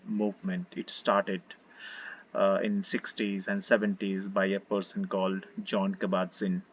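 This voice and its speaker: Man, Indian accent, gentle meditation teacher, husky tone, muffled voice-chat sound. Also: lowish voice. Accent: Indian English. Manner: relaxed, gentle, many fillers, invites listeners to join in. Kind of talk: casual online session talk.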